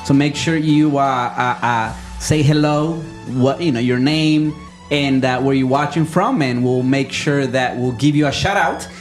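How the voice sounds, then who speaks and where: A man's voice: medium pitch, unhurried, soft background music. Chatty church talk-show co-host, man. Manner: rambling, filler-heavy, chatty. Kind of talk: casual live-stream hosting.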